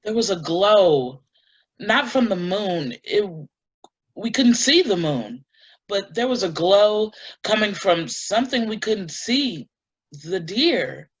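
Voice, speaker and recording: An actress playing a shaken, earnest woman giving deliberate testimony in a dramatic staged reading, on a lo-fi recording. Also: medium pitch, expressive, slightly rough. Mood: hushed wonder. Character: shaken, earnest woman recounting an eerie night in woods.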